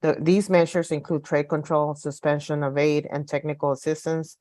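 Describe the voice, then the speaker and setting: A woman from Los Angeles speaking as an activist guest, delivering a prepared political speech in a measured way, point by point. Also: low-pitched, flat delivery, a little mellow, dry recording. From Los Angeles, United States.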